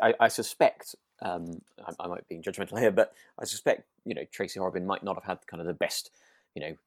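A man's voice: low-pitched, no echo, studio quality. A British man co-hosting a podcast in casual conversation, tentative and often rephrasing as he goes. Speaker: podcast co-host. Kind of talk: casual chat discussing a radio drama.

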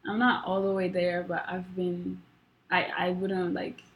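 Slow, gravelly-voiced young woman. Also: fairly low pitch, completely flat delivery. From Rwanda. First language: not English. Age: young adult. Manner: soft-spoken, hesitant, many fillers and restarts. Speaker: guest, college student. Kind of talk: casual conversation.

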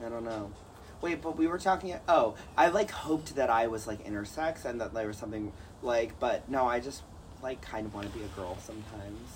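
A man's voice: mid-range voice, a little fast, slight background noise. A man co-hosting a podcast in casual chat, rambling and jokey with lots of fillers. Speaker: podcast co-host.

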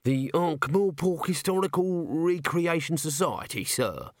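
A man puts on a twitchy little corporal, pitched well above his own voice, stammering and hedging at every turn. The reader's voice jumps up and down in pitch as the character squirms. He records this in a dry, close room. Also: lively intonation, a little slow, dry recording. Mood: nervous. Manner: stammering, hesitant, full of "sir"s. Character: nervous little male corporal, shifty and evasive. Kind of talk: audiobook character voice.

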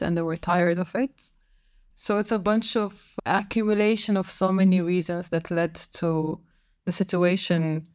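A young woman from Sudan, a podcast guest explaining her moves abroad in a thoughtful, unhurried way. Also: on the low side, no room sound, studio quality. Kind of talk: casual interview chat.

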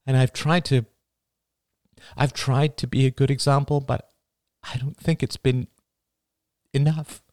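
A man's voice: medium pitch, little pitch movement, unhurried, long pauses, darkish tone, dry recording, studio quality, voice pushed up, close to an everyday voice. A man voicing an emotional, self-critical middle-aged mother. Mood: earnest, confessional.

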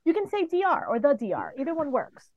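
A woman from New York City and the Dominican Republic chatting casually as an interview guest, telling stories in an animated, playful way. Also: high-pitched, quick pace, highs cut off, a crowd in the background. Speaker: podcast guest, professional wrestler.

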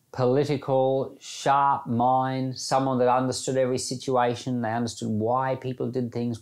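A man's voice: mid-range voice, darkish tone. Man reading and discussing scripture devotionally. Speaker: church Bible podcast host.

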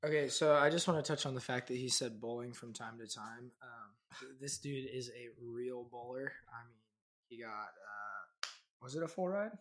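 A man's voice: medium pitch, normal speed, a bit bright. Casual young man hosting a chat. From Kansas. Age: 20s. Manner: loose and conversational, many fillers. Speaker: podcast host.

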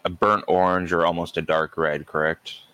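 Very deep-voiced male podcast host. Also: flat delivery, normal speed, pushed and bright, clear, resonant tone, no room sound. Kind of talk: casual podcast interview.

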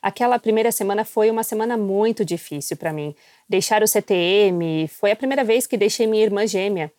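A woman's voice: mid-range voice, fairly quick, a little mellow, dry recording. Dry-voiced woman telling her story. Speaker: missionary guest. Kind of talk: personal storytelling.

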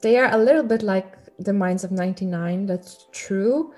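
A woman co-hosting a podcast, thoughtfully talking through an album and pausing to find words. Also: mid-range voice, warm tone, soft background music. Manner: thoughtful, searching for words with fillers. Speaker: podcast co-host. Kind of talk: casual chat about music.

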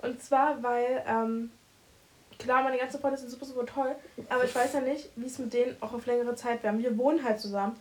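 A woman's voice: on the high side, steady tone, brisk. A woman in her twenties chatting casually as a podcast co-host, rambling and stopping often to search for words. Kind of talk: casual chat.

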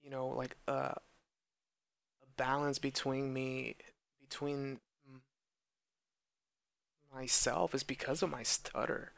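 A young man speaking slowly and earnestly in a personal monologue, with stuttered repeats and blocks. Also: mid-range voice, flat delivery, gravelly, fairly bright tone, clean tone, dry recording. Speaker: podcast host who stutters.

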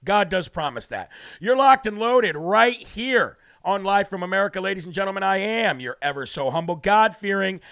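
A man's voice: high-pitched, expressive, normal speed, gravelly, a bit bright, no echo, clean studio sound. A man from New Hampshire hosting a live political talk show, brash and preachy, losing his voice as he reads out viewer comments.

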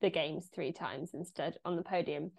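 A woman's voice: low voice, steady tone, moderate pace, gravelly, a little mellow. A woman hosting a podcast interview, encouraging and laughing along with her guest. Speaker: podcast host. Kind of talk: casual interview.

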